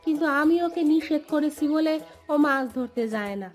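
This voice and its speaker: Woman acting a high-voiced, humble cartoon maidservant. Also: lively intonation, normal speed, faint music behind. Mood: deferential, earnest. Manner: respectful, eager to please. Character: humble, deferential village maidservant, devoted mother.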